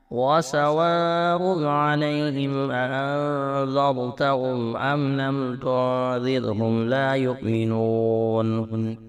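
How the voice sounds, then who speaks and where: A man reciting the Quran melodically in a measured chant, drawing out the ends of phrases. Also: mid-range voice.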